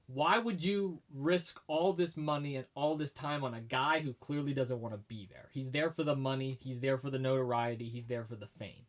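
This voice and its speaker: A man's voice: a little high, a bit bright. A man, co-hosting a casual sports podcast, making emphatic, opinionated predictions while starting to lose his voice. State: losing his voice. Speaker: podcast co-host.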